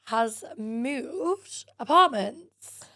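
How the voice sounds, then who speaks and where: A woman, co-hosting a podcast in casual chat, hoarse from a partly lost voice and loud, jokey and excitable. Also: on the high side, extremely slow. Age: 20s. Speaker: podcast co-host.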